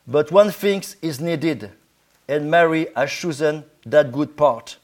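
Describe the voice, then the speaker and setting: A man with a French accent, a native French speaker, preaching informally in halting English as a guest preacher. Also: on the high side, expressive. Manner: halting, searching for words in English. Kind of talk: informal sermon to a congregation.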